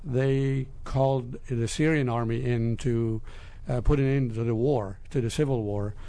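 Male interview guest, fifties, from Lebanon, creaky voice, soft dark tone, hesitant careful phrasing. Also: mid-range voice, steady tone. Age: 50s. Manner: halting, measured phrasing. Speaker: interview guest, business executive. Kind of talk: conversational interview answers.

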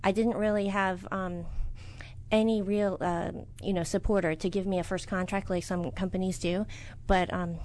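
A middle-aged woman from the United States, a business owner answering interview questions in a soft, hesitant way with many fillers. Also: medium pitch, fairly even intonation, normal speed, a little hiss or hum.

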